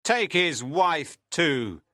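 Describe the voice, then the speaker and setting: Man doing a nasty greedy lord barking orders, bright edgy voice, slow. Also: a little high, very animated, no room sound, studio quality, voice pushed up, heavily acted. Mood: harsh, commanding. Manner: barking, commanding. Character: cruel greedy medieval lord, big fat man. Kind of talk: audiobook reading.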